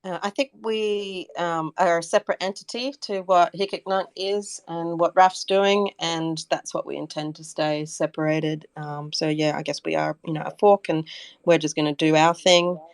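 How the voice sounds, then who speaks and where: A woman's voice: on the low side, steady tone, normal speed, smooth, no room sound. A woman speaking as a community representative in a casual interview, explaining things in a hesitant way. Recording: online audio chat room.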